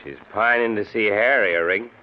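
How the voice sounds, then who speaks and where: A man's voice: on the low side, fairly quick, close to an everyday voice. An actor playing a dutiful, gloomy mountain man with a folksy rural American accent in a radio drama. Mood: glum, confiding. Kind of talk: old-time radio drama acting. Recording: muffled old radio recording with hiss.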